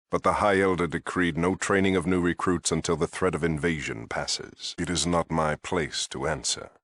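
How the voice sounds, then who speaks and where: An actor playing a stern, proud paladin commander in a video game, talking in a clipped, formal, haughty way. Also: male voice, a bass voice, a bit bright, dry recording, clean studio sound, exaggerated voice. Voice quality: gravelly. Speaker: head of a military order. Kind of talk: dramatic video game dialogue.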